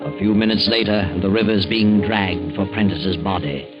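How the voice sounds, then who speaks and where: A man's voice: low voice, fairly even intonation, normal speed, natural voice. Casting: male actor for insinuating 1940s suspense narrator, knowing and dramatic, prominent music behind, dull vintage sound. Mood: ominous. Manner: dramatic, insinuating rhetorical questions. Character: ominous, knowing male mystery storyteller.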